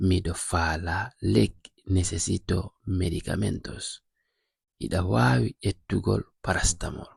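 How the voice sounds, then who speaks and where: Slow, gravelly low-voiced man. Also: a little mellow, no echo, clean studio sound. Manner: reads each phrase twice or three times, then gives the meaning. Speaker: language teacher. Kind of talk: slow repeated phrase drills.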